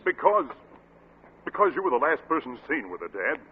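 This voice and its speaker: A young actor playing an anxious, hesitant son in earnest 1940s radio style. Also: male voice, fairly high pitch, sing-song, normal speed, slightly rough. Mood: urgent, worried. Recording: tinny, faintly noisy old radio recording.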